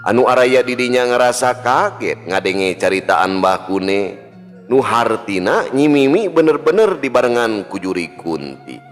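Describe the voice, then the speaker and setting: A man's voice: medium pitch, lively intonation, unhurried. A man with a Sundanese accent narrating a folk tale in a storyteller's style. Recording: long hall reverb with music. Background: music.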